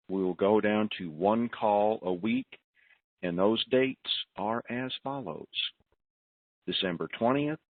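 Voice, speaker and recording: A man hosting a conference call, giving informal announcements in a deliberate, plain-spoken manner over a telephone. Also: on the low side, dry recording. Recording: narrowband telephone conference line.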